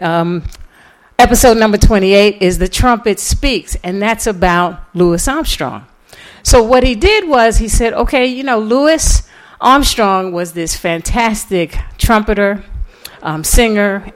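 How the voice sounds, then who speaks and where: A woman giving an informal lecture, telling stories in a halting way with deliberate emphasis. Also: medium pitch. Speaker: lecturer and author.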